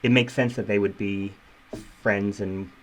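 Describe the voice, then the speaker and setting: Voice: deep voice, fairly even intonation, unhurried, slight background noise, low-quality recording. A podcast co-host chatting casually, exclaiming and reacting in a giggly, gossipy way. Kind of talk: casual chat, reacting to the main narrator.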